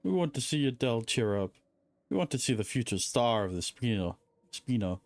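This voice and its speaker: A woman streamer chatting casually over a game, relaxed and a bit hesitant. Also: a bass voice, fairly quick, no room sound.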